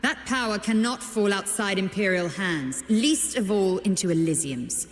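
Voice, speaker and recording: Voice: medium pitch, moderate pace, close to an everyday voice. An actor voicing a cold, ruthless chief of an imperial legion in a video game, curt and imperious. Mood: stern, impatient. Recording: echoey hall reverb with music.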